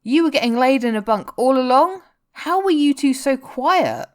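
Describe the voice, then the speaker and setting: A blunt rock singer who teases and looks after his bandmate , played by a woman reading fiction aloud. The character dialogue is pitched somewhat above plain narration. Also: expressive, moderate pace. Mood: teasing. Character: adult male rock singer, blunt, teasing, caring bandmate. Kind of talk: audiobook-style character dialogue.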